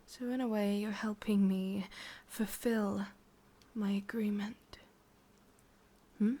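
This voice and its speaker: A woman's voice: mid-range voice, steady tone, takes it slowly, dark tone. A woman with an English accent playing a possessive, sadistic ancient witch in a whispered ASMR roleplay, coaxing and controlling. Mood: wistful, menacing.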